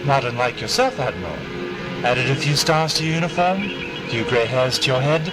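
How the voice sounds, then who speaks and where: Eccentric time-travelling doctor played by a man, audio drama, flippant and whimsical, very animated delivery over loud music. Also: mid-range voice, normal speed, natural voice, rough recording. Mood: delighted, teasing. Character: eccentric, cheeky time-travelling male alien doctor, flippant yet earnest.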